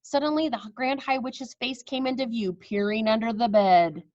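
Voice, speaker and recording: A woman reading a children's story aloud with expressive narration, on a slightly dull recording. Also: a little high, normal speed, no room sound.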